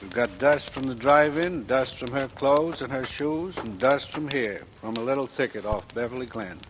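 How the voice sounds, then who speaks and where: An actor playing a methodical, calmly certain police captain in a clipped 1940s radio style. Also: male voice, medium pitch, moderate pace, close to an everyday voice. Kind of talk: 1940s radio crime drama acting. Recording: noisy old radio recording.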